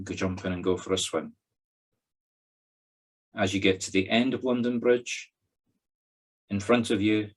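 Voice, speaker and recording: A Scottish man leading a guided meditation as a spiritual medium, giving instructions in short phrases with pauses between them. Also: sits low. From Scotland.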